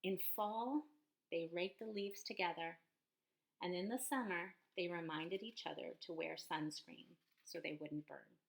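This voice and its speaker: A woman's voice: on the low side, gravelly, poor audio. Woman reading a storybook to children. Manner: expressive, deliberate pauses, child-directed. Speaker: teacher reading a picture book aloud to children. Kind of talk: storybook read-aloud, speaking to children.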